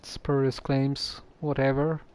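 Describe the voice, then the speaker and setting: Casual streamer, sex ambiguous: slow delivery, dark soft tone, low-key reactions to a game. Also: level tone, slight background noise. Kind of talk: casual live stream commentary.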